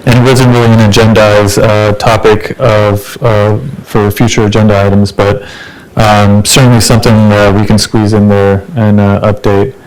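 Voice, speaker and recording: A man on city staff at a public meeting, calling the roll and answering halting, with many fillers. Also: on the low side, little pitch movement, poor audio. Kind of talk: meeting procedure.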